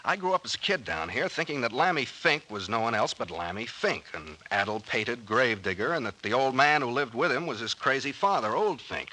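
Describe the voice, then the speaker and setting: Confident detective captain, vintage radio serial, lively pitch swings, forward bright tone, brisk storyteller. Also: male voice, poor audio. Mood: explaining, assured. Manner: brisk, slangy, expository. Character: confident male detective captain explaining a mystery. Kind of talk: 1940s radio drama acting.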